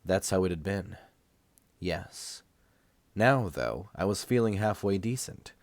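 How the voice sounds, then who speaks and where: A man with a low voice narrates a novel in the first person. He is recovering from a cold and reads in a measured way with dramatic pauses. The sound is dry and close. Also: animated, no echo. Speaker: amateur audiobook reader.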